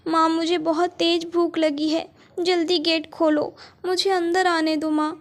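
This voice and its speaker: A woman voicing a mistreated young girl who speaks softly and pleadingly in a storybook. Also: very high voice, flat delivery, unhurried, voice pushed up. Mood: begging. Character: sad, mistreated teenage girl.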